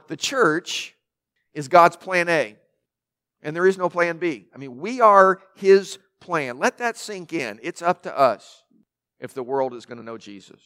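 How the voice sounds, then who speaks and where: A pastor preaching a sermon, emphatic, with rhetorical questions and building lists, and a naturally loud voice. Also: male voice, on the high side.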